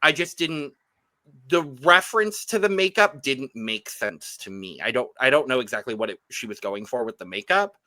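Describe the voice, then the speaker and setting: A man's voice: medium pitch, animated, moderate pace, pushed and bright. A man co-hosting a podcast, giving casual, opinionated reviews of fashion looks. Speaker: podcast co-host reviewing drag runway looks.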